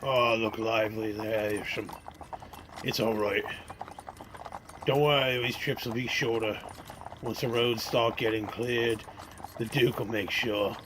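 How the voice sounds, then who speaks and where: A man's voice: on the low side, fairly even intonation, measured pace, pauses often, noisy background, lightly acted. A man playing an experienced cart driver in a fantasy radio drama, instructing a younger companion in folksy, old-time phrasing. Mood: reassuring, wary.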